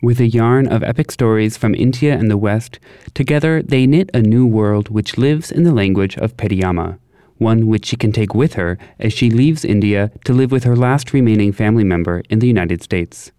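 A man hosting a podcast with a measured, polished delivery, reading a scripted introduction and then asking interview questions. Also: on the low side, mellow, smooth, dry recording, studio quality.

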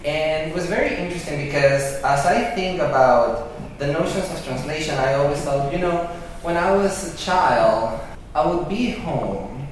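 A man speaks on a panel, reflective and hesitant, often pausing with fillers. He is recorded from far off in a very echoey room, with faint noise behind him. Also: fairly high pitch, animated. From Venezuela. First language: Spanish. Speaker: poet and translator. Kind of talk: panel talk.

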